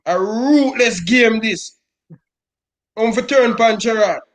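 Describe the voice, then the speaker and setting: High-voiced Jamaican man ranting. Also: slow. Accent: Jamaican Patois. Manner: emphatic, repeats "brother" constantly. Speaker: football fan guest. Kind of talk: impassioned fan rant.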